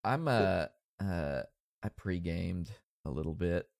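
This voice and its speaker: A man's voice: deep voice, animated, slow, gravelly, darkish tone, no room sound, clean studio sound. A man hosting a casual podcast, stuffy and nasal from a cold, rambling and joking at his own expense. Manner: rambling, self-deprecating, joking. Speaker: podcast host.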